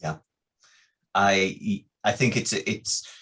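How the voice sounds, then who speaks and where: A man's voice: lowish voice, lively intonation, very slow, fairly bright tone, husky. A man speaking as a panelist on a video call, thoughtful and earnest, often repeating words as he works through a point. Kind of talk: panel discussion.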